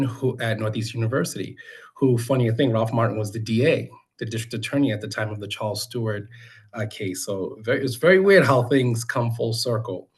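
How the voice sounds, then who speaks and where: Low-voiced American man giving a campaign introduction. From Boston, United States. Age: middle-aged. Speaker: city council candidate. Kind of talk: informal campaign introduction speech at a community meeting.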